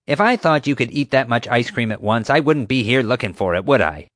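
A man reading an audiobook, voicing a loud, bossy adult woman security guard who barks and growls her commands. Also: mid-range voice, big pitch swings, fairly quick, dry recording, studio quality, voice pushed up. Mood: angry, bossy.

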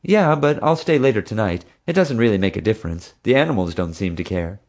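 A man voicing an earnest young woman zookeeper, raising his voice high for her in an audiobook reading. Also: animated, a little fast, darkish tone. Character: young woman zoo keeper, earnest and eager.

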